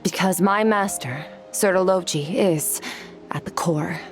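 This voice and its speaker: A woman playing a guarded, haunted young swordswoman in a video game, speaking in a measured, restrained, reflective way. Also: fairly low pitch, lightly acted. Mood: solemn, resolute. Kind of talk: scripted game character dialogue. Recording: music bed under dialogue.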